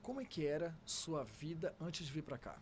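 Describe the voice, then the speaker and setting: A man's voice: on the high side, expressive, moderate pace, slight background noise. A man with a Brazilian accent hosting a podcast, introducing and questioning his guest. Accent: Brazilian Portuguese. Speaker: podcast host, business mentor. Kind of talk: podcast interviewing.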